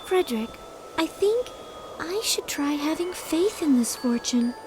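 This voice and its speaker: An actress voicing a gentle young woman who carries a heavy burden, speaking softly and earnestly. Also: high voice, lively intonation, a little slow, background noise. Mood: resolved. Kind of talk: video game dub acting.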